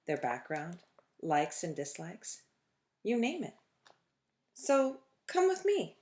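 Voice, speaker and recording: A woman reads to children as a storytime reader, in a lowish voice and at a slow pace. Her delivery is expressive and deliberate, setting phrases apart with dramatic pauses. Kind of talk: expressive picture-book read-aloud.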